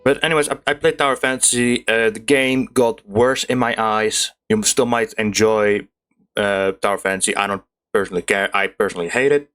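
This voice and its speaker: A man's voice: lowish voice, steady tone, fairly quick, fairly bright tone. Casual, rambling young male gamer. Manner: rambling, informal, self-correcting. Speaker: gaming video host. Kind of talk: casual let's play commentary. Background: game music.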